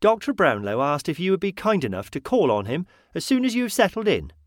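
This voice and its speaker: A prim village landlady who makes her announcements formally, as a male narrator puts her on. The voice is raised in pitch, dry and close. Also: very animated, brisk, no room sound.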